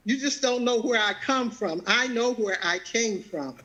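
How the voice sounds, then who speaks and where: A woman in her seventies with a Southern American accent, sharing a personal testimony in a halting way, often repeating words and laughing easily. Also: a little high, fairly bright tone, highs cut off. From Southern United States. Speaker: guest.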